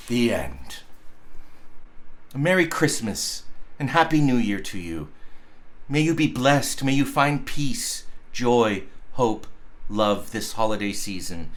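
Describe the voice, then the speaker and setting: A man, a pastor, reading a story aloud in an expressive, deliberate way with dramatic pauses. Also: a little high.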